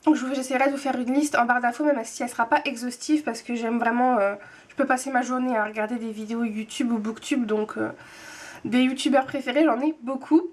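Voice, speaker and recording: A young woman in her twenties with a high voice talks casually to her viewers. She rambles a bit, trips over her words and restarts often, filling pauses as she goes. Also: steady tone. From France. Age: 20s. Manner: chatty, hesitant, many fillers and restarts. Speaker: booktube vlogger, nurse. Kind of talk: casual vlog answering tag questions.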